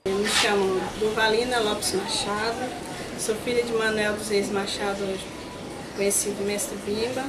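A middle-aged woman gives a grateful, somewhat halting interview in front of a backdrop. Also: medium pitch, level tone, over loud music, low-quality recording. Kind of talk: interview testimony. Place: indoors in front of a flag backdrop. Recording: loud background noise.

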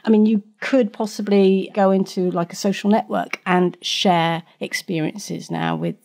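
A woman's voice: medium pitch, fairly even intonation, unhurried, darkish tone. A middle-aged woman answering interview questions in a chatty way, with frequent restarts. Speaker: guest expert.

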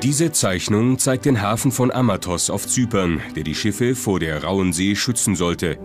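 A man narrates a scripted documentary in a measured, dramatic way. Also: lowish voice. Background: prominent background music.

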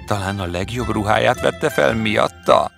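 A man's voice: lowish voice, gravelly, fairly bright tone. A man voicing a hesitant, jealous suitor in a fairy tale, brooding and wounded. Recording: music under the voice.

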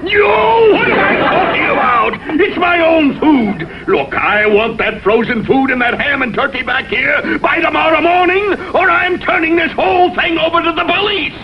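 An actor playing an exasperated, flustered shopkeeper in a vintage cartoon, protesting in a whining way. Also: male voice, very high voice, expressive, normal speed, hardly any pauses, a crowd in the background. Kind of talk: vintage cartoon sitcom acting. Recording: muffled, very noisy vintage TV soundtrack.

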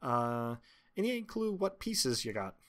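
A man's voice: medium pitch, sing-song, takes it slowly, fairly bright tone. A man voicing a matter-of-fact, helpful local with a casual, folksy manner in a fantasy game. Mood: dry, practical.

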